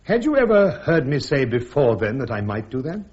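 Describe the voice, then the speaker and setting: An actor playing a polite, restrained army major on trial in a radio drama, husky, courteous and a little hesitant. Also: male voice, medium pitch, big pitch swings, normal speed, a little mellow, natural voice. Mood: polite, controlled. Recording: dull, band-limited radio recording.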